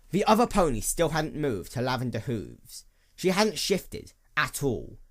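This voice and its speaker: A man reading scripted story narration in an expressive, descriptive storytelling manner. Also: medium pitch. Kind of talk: dramatic scripted narration of fan fiction.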